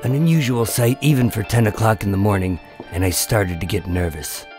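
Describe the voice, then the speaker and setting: A low male voice of an actor plays a nervous undercover agent in a noir radio drama. He tells his story in a wry, deadpan way, with music prominent behind him. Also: brisk, close to an everyday voice. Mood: tense, wry. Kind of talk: first-person noir radio drama narration and dialogue.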